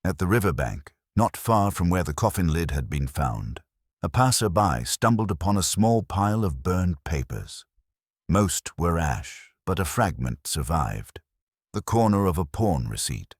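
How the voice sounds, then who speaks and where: A man narrating a scripted mystery story in a measured, literary and theatrical manner. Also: extremely low pitch, slightly rough, no room sound, studio quality.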